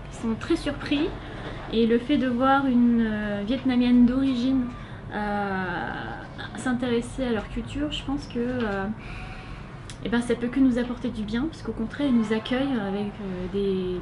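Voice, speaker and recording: Young woman, French accent, interviewee; fairly high, halting delivery, lots of fillers; noisy recording. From France. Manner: hesitant, many filler sounds. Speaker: interviewee, volunteer. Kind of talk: casual interview answers. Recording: noisy background hiss.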